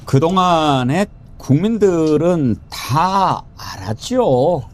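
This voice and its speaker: A man hosting a political panel talk, lively and joking, throwing questions to guests. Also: on the high side, slow. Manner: lively, joking, quotes with raised voice. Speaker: talk show host.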